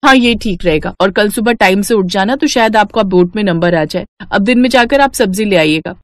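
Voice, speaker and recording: A woman's voice: medium pitch, brisk, close to an everyday voice. A woman playing a discontented young wife in a cartoon story, nagging and pleading expressively. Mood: exasperated. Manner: complaining, expressive. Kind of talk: cartoon moral story acting.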